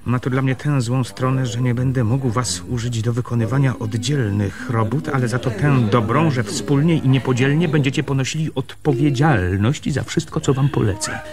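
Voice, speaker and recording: A man's voice: medium pitch, normal speed, hardly any pauses, crowd noise, natural voice. Actor as an insistent, self-assured male surveyor. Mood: officious, stern. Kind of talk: radio drama acting.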